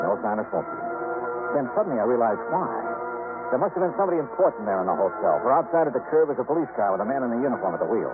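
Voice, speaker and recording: Vintage radio detective lead, male actor: wry, self-assured investigator, quick tempo, animated inflection, narrow old-broadcast sound. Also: mid-range voice, natural voice. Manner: wry, punchy, conversational. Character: brisk, wry, confident freelance insurance investigator hero. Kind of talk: 1950s radio drama acting, first-person detective narration. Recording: narrow-band 1950s radio broadcast recording.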